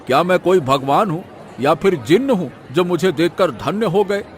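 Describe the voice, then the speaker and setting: A man voicing a proud, irritable rich old villager in an animated moral story, blunt and scornful. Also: high-pitched, moderate pace, slight background noise. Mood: irritated, scornful.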